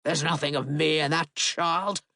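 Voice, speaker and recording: A woman's voice: sits low, steady tone, unhurried, sharp tone, dry recording, studio quality, heavily acted. A woman voicing a cold, contemptuous aristocratic father who talks in a harsh, sneering way.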